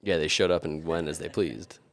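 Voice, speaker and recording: Man, extremely low pitch, gravelly, dry humor, casual podcast chat. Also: fairly even intonation, moderate pace, a bit bright. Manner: dry joking, laughs often. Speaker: podcast co-host.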